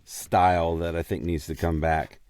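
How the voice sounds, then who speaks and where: A middle-aged man with a fairly low voice, recorded dry and close. He talks as a podcast co-host in casual banter, joking and poking fun at himself. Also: flat delivery, normal speed, darkish tone, no echo. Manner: jokey, self-deprecating.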